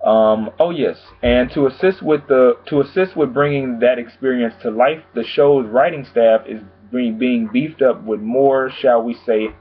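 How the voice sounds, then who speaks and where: A man vlogging about TV news in a casual, chatty way, calm and low-key, with lots of filler phrases. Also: a little slow, hardly any pauses, slightly rough. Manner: chatty, frequent "pretty much" and "you know what I'm saying". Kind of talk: casual chat to camera. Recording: tinny phone-like sound.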